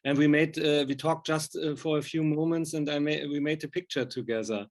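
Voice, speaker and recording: A man's voice: fairly high pitch, steady tone, fairly quick. A man speaking non-native English, interviewing informally with rambling, enthusiastic fan talk. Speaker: interviewer, film and music journalist.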